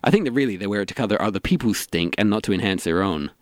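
A man's voice: on the low side, animated, very fast, dry recording, lightly acted. A man playing a lonely, self-mocking middle-aged dungeon master in an audio sitcom, wry in manner. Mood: teasing.

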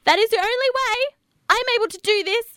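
A woman's voice: very high-pitched, fairly quick, bright, forceful tone, no room sound, clean studio sound. A woman playing a scheming, vain and ruthless perfume-obsessed woman in a theatrical comedy radio sketch. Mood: frantic, menacing. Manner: theatrical, feigned innocence.

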